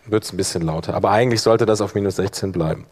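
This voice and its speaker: A man leading a workshop, explaining informally and thinking aloud while he operates the software. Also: on the low side, quick pace. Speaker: workshop instructor.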